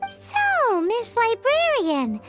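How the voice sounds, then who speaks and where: A woman voicing a tiny childlike fairy girl companion in a video game, squeaky, cheeky and excitable. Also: extremely slow, over music, heavily acted. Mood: eager. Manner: cheeky, speaks of herself in third person.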